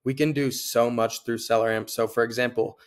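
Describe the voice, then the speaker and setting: A young man with a cold and a slightly hoarse voice, casually walking viewers through a screen-share tutorial, with frequent fillers. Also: medium pitch, fairly even intonation, brisk. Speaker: online seller and tutorial host.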